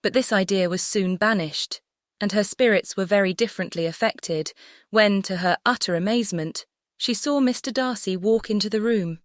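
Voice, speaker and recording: A woman's voice: mid-range voice, normal speed, no room sound, studio quality. A woman narrating a classic novel as an audiobook, composed and carefully phrased. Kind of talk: scripted literary narration.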